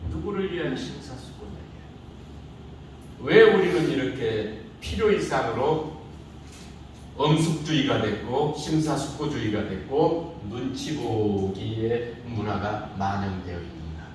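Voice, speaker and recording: A man's voice: mid-range voice, animated, a little slow, a little mellow, slight background noise. A middle-aged man teaching a speech class in a classroom, lecturing casually and teasing the students with rhetorical questions. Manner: informal banter with students. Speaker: speech instructor. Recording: echoey room from far off.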